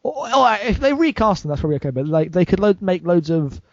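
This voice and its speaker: A woman's voice: fairly low pitch, sing-song, quick pace, no echo. A woman chatting casually on a group podcast in an emphatic, opinionated way. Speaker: podcast panelist. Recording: dull lo-fi close audio.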